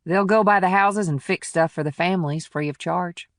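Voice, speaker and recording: A man's voice: high voice, moderate pace, a bit bright, no room sound, pitched above the performer's own voice. A firm small-town policewoman, acted out by a male narrator in a crime audiobook. The delivery is plainspoken and matter-of-fact, with a protective edge toward the locals. The sound is dry, with very little room. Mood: defensive. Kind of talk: audiobook character dialogue.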